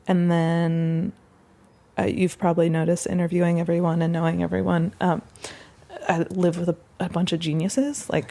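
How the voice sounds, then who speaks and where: Woman, low voice, gravelly fry, mellow tone, casual reflective interview talk. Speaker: resident of a collective house, interviewee.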